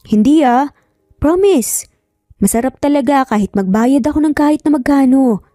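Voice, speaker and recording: Woman voicing an eager, smitten young man, mellow. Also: high voice, expressive, normal speed, soft background music.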